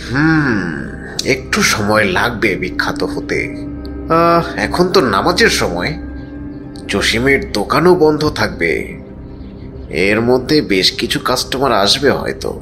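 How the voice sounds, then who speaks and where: A man's voice: mid-range voice, sing-song, a little slow, pauses often, over music. A man playing a greedy, envious shopkeeper in a cartoon fable, with an exclamatory, complaining, melodramatic delivery. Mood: scheming, smug. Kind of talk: cartoon voice acting.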